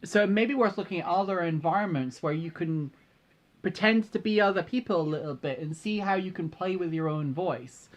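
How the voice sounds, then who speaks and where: Mid-pitched co-host giving thoughtful advice. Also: fairly quick, highs cut off. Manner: thoughtful, hesitant phrasing, tag questions. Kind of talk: casual advice chat.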